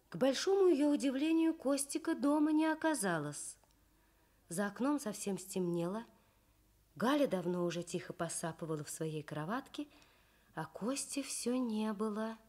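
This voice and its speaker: A woman reading a children's story expressively for 1960s Soviet radio, with measured storytelling. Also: medium pitch, a little mellow.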